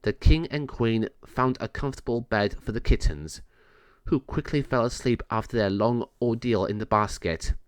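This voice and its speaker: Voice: medium pitch, moderate pace, clear, resonant tone, no echo. A narrator reading a children's story aloud in a scripted read-along style.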